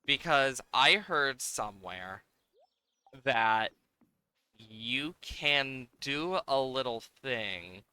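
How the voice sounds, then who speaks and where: A man's voice: medium pitch, expressive, extremely slow, bright, forceful tone, clear, resonant tone, no room sound. A man livestreaming a game, talking casually and playfully as he thinks aloud in a rambling way. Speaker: livestreamer, host. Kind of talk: casual live game-stream chat, reacting to game and viewers.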